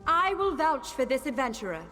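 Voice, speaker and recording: A woman's voice: sits high, big pitch swings, brisk, sharp tone, faint music behind, heavily acted. A woman playing an earnest, noble elf in a video game, speaking solemnly and formally. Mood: solemn, sincere. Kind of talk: scripted video game dialogue. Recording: long hall reverb.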